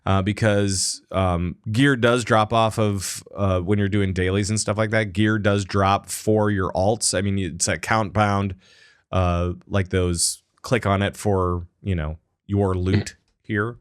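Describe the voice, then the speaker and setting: A man's voice: on the low side, steady tone, takes it slowly, clear, resonant tone. A man hosting a casual gaming podcast, rambling with lots of filler words. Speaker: podcast host.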